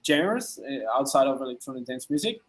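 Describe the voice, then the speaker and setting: A man from France, a music producer answering interview questions in halting English full of fillers. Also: mid-range voice, very animated. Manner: halting, many "uh" fillers and restarts, simple non-native phrasing.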